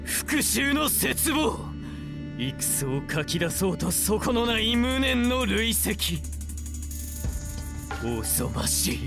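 A man's voice: high-pitched, big pitch swings, slow pace, gravelly, long pauses, full character voice. A man voicing a vengeful, merciless avenger in a fighting game, grandiose and menacing in dramatic anime style. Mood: brooding, disgusted. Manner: grandiose, menacing, archaic phrasing. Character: vengeful cloaked male avenger, theatrical, merciless, brooding. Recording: game audio under loud music and impacts. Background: loud music, guitar, fighting-game crashes and hits.

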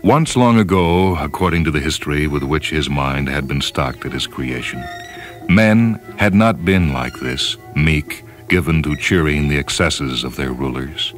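A man with an extremely low voice narrates fiction in a grave, measured manner. His phrasing is deliberate and theatrical, and orchestral music plays clearly beneath him. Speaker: narrator reading short fiction. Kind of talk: dramatic scripted story narration. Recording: music under the voice. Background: orchestral piano music.

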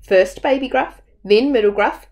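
A woman's voice: a little high, moderate pace, a little mellow. A woman in her thirties reading a children's story aloud expressively, as a storyteller at home. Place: small room at home.

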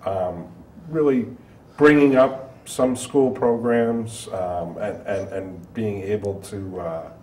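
A middle-aged man talking haltingly in a casual panel discussion. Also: fairly low pitch, dark tone. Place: small TV studio, round table. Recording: roomy, distant mic, faint noise.

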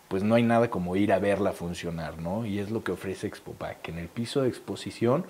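A man's voice: deep voice, a little fast, a little mellow, husky. A man in his thirties with a Mexican Spanish accent, an event organizer talking fluently and promotionally in a studio interview, with a lapel mic. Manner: fluent promotional explaining with fillers.

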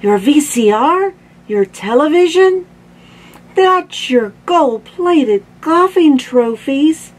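A woman's voice: on the high side, expressive, slow pace, darkish tone, husky, voice pushed up, heavily acted. A woman voicing a concerned mom in a storybook, with an anxious, questioning manner. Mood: alarmed.

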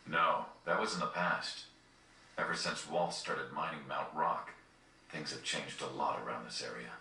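An actor playing a calm, serious young rebel leader in a fantasy video game, speaking in a measured, stoic way. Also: male voice, rumbling low, full character voice. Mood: grave, steady. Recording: roomy, distant capture off a TV, music behind.

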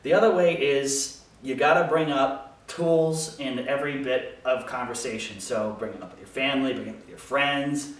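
A man's voice: medium pitch, normal speed, few pauses. An American man in his thirties talking casually to camera, explaining things as a woodworker answering questions in his small workshop. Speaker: woodworker hosting a shop Q&A video. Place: small home workshop, indoors. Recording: very echoey room, distant microphone.

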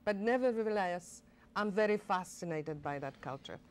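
An older woman talks eagerly in a television studio interview. She restarts her sentences and fills pauses with "uh" and "you know," recorded cleanly on a lapel mic. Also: medium pitch, smooth. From Poland. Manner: enthusiastic, animated, many fillers and restarts. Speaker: director of a college performing arts center.

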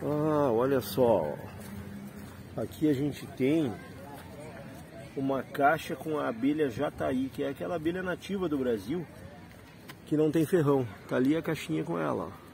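A man's voice: on the high side, normal speed, gravelly, long pauses, a little mellow, slight background noise. Chatty man vlogging. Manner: chatty, pointing things out to viewers. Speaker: rideshare driver and travel vlogger. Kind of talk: casual walking-tour vlog.

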